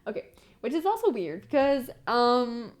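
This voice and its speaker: A young woman from Quebec with a Québécois French accent, speaking English as her second language. She chats to camera in a fast, excitable, rambling way, with lots of fillers and restarts as she stumbles over words. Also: on the high side. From Quebec, Canada. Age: young adult. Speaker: tarot content creator. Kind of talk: casual chat to camera.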